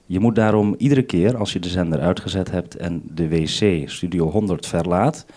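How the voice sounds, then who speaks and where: A man's voice: low-pitched, normal speed, warm tone. A Dutch man working as a radio programme maker, giving methodical, instructive briefings over a two-way radio link.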